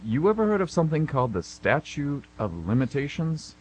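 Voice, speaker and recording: A man's voice: a little high, very animated, brisk, darkish tone, a little hiss or hum, low-quality recording. A man playing an impatient, sarcastic police officer with a curt, unimpressed manner in an amateur radio drama. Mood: curt, skeptical.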